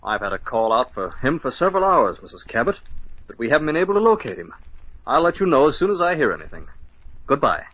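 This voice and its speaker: A man acting a brisk hospital doctor in charge, in the dramatic manner of an old radio mystery. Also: medium pitch, very animated, fairly bright tone, no room sound. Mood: concerned. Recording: dull, noisy old radio recording.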